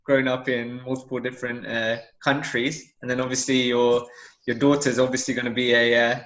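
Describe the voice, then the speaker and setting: Brief: young British male podcast host, bright forward voice, casual questioning, echoey video call. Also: medium pitch, little pitch movement, normal speed. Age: young adult. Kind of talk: casual interview. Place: room at home, indoors. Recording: roomy, dull video-call audio.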